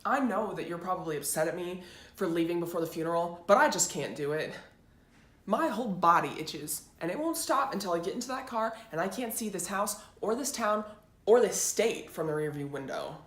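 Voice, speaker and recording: A woman's voice: lowish voice, animated, fairly quick, a bit bright. Woman as an intense, bitter teenage daughter, echoey room. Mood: hurt, resolute. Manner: intense, resentful, emphatic. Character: bitter eighteen-year-old daughter, determined to leave home. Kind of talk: dramatic stage monologue. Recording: roomy echo, faint background noise.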